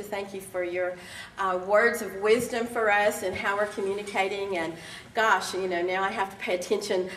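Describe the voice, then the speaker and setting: A woman with a slightly raspy voice gives an encouraging, chatty keynote speech to a live audience at a conference. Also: mid-range voice, fairly bright tone, slight background noise. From Texas. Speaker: keynote speaker. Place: large conference hall. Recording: echoey hall, voice from a distance.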